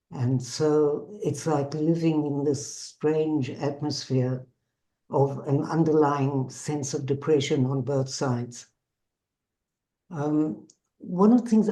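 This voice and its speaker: An older woman with a South African accent gives a measured, earnest personal testimony as a bereaved mother and peace activist. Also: low-pitched, warm tone, rough recording. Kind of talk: personal testimony to an online audience.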